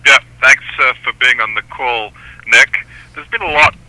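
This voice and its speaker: A man's voice: medium pitch, normal speed, bright, forceful tone, no echo, poor audio. A male sports reporter putting questions over the phone on a press conference call. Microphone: telephone.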